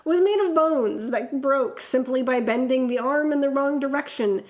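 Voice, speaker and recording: High-voiced woman reading fanfiction aloud. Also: moderate pace. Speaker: reader and co-host of a fanfiction comedy show. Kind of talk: dramatic reading of fanfiction mixed with casual banter.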